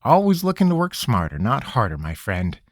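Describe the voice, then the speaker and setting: A man voicing a nervous, rambling young farm-boy mage with a high, twangy, folksy voice. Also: wildly swooping pitch, moderate pace, no echo, clean studio sound. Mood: rambling excuses. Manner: jittery, wheedling, folksy.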